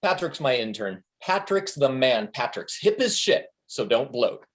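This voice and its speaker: A man's voice: mid-range voice, very animated, a little slow. A man in a comedy play reading, playing a brash, crude, cocky tour manager who talks in a bossy, jokey, mock-wise way. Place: remote reading over a video call.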